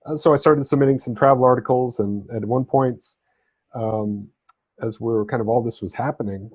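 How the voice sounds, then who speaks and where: A man's voice: medium pitch, a little mellow, husky, dry recording. A man who grew up in southern Spain talks conversationally as an interview guest, telling his own story in an easygoing, explanatory way. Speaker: interview guest, freelance writer and travel correspondent.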